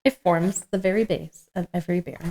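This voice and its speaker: A woman's voice: lowish voice, fairly quick, mellow. A woman co-hosting a podcast in an informal, joking way, reading from a script and chatting. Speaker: podcast co-host. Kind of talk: casual table read with banter.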